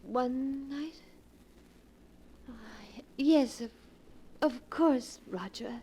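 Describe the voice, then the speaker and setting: An actress playing an anxious, desperate wife in the dramatic, imploring style of 1940s horror radio. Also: female voice, sits high, drawn-out words, dark tone, breathy edge, full character voice. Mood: tender, uneasy. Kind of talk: 1940s melodramatic radio acting. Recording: vintage 1940s radio recording.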